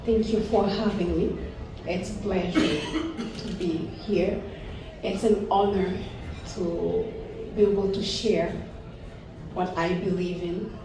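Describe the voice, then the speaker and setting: A middle-aged woman from Haiti with a Haitian accent, speaking English as a second language, giving an earnest, deliberate forum speech. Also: fairly low pitch, fairly even intonation. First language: not English. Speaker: panelist, activist. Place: large echoey indoor event room. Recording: very echoey room, distant, noisy.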